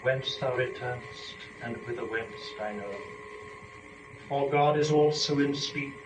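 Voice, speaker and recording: A man performing solemn epic verse as archangel and Eve, in a measured, declamatory dramatic reading. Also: medium pitch, lightly acted. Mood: tender, resolved. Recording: roomy, distant, muffled, faint noise.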